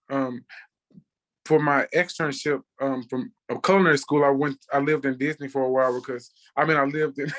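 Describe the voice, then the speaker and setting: A man's voice: medium pitch, fairly bright tone, rough recording. A man in his thirties from Texas, a chef guesting on a podcast and telling personal stories casually. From Texas, United States. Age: 30s. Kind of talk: casual conversational storytelling.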